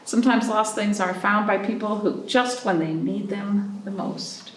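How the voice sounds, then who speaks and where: A woman hosting a storytime for young children, reading expressively with drawn-out phrases and pausing to put questions to her listeners. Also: mid-range voice, fairly even intonation. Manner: prompting listeners with questions and pauses. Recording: roomy, distant mic, faint noise.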